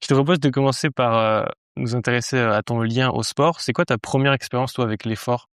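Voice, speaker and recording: A man's voice: mid-range voice, fairly even intonation, fairly quick, smooth, dry recording, studio quality. A man hosting a podcast interview, asking friendly questions with frequent fillers. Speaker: podcast host.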